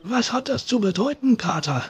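A man voicing a gracious, easily flattered king with a regal, hesitant manner in a fairy tale. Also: sits high, normal speed, husky, voice pushed up, heavily acted. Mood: alarmed.

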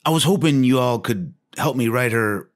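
A man's voice: mid-range voice, animated, normal speed, close to an everyday voice. An actor playing an earnest, hapless small-town young man with a folksy manner in a comedy script reading. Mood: sheepish, hopeful. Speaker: actor in a script table read.

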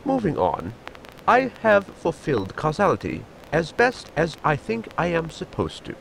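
A man's voice: a little high, wildly swooping pitch, unhurried, creaky, fairly bright tone, a little hiss or hum, exaggerated voice, low-quality recording. An actor playing a formal, fussy inventor crewman with stiff, precise diction in a sci-fi audio comedy. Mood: dry, fussy. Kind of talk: audio sitcom acting.

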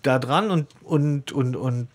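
A man's voice: medium pitch, slow pace. A man speaks in a medium register as a podcast co-host. His delivery is conversational and colloquial, explaining opinions and slipping into little quotations. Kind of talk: casual discussion of an audio drama.

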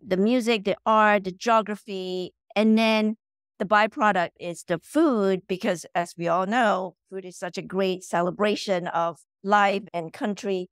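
Woman from Malaysia, middle-aged, animated guest, dry close sound. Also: medium pitch, no room sound. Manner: animated, repeats words when starting sentences. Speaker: guest, company founder. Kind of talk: casual interview chat. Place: home room, video call.

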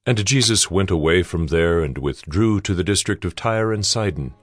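Casting: male narrator for dramatized scripture, very low register, expressive melody. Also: normal speed, faint music behind.

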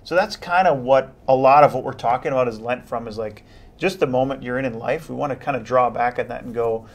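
A young man, a police officer guest on a local TV talk show, talking in an animated, casual way in a studio on a lapel mic. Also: mid-range voice, brisk. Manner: animated, gestures, repeats words. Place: TV studio, indoors.

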